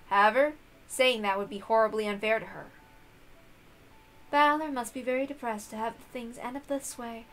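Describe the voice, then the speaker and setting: A woman reading a visual novel aloud, with expressive narration and casual asides. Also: medium pitch, poor audio. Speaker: reader of a visual novel playthrough.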